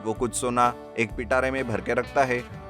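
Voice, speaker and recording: A man narrates a scripted story for children. His voice sits at a middle pitch, and guitar music plays clearly underneath him. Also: steady tone, moderate pace. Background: plucked guitar music.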